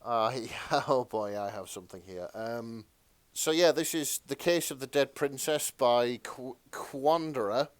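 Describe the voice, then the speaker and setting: A man with a medium-pitched voice chats as a podcast co-host. His delivery is casual and a bit halting, and the sound is dry and close with no real echo.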